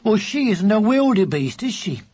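Adult narrator acting exasperated boy, bossy big brother, children's story, impatient, weary, husky, very animated pitch. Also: normal speed. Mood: weary, explaining. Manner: sighing, impatient, laboured explaining.

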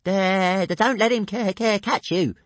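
A man's voice: sits high, measured pace, dry recording, pitched above the performer's own voice. A man voicing a small, nervous, bossy pet dragon in a storybook, stammering, shrieky and whiny. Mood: anxious advice.

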